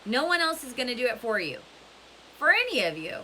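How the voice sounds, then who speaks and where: Woman reading as an earnest, preachy male camp counselor, bright voice. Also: on the high side, big pitch swings, fairly quick, voice pushed up. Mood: stern, lecturing. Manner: lecturing, pointed. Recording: clear background hiss.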